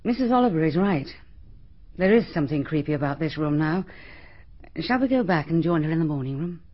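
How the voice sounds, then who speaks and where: An actress playing a proper, defensive middle-aged hostess, crisp and emphatic, with a husky voice, in a radio drama. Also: female voice, low voice, expressive, fairly quick. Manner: crisp, emphatic, slightly indignant. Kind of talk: BBC radio drama acting. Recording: dull archival radio recording.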